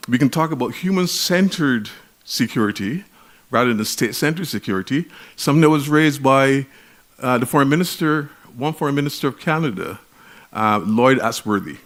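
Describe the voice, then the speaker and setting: A man with a Barbadian accent giving an academic conference lecture in a measured, emphatic way, often restarting his words. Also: medium pitch, expressive, a bit bright. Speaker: conference speaker.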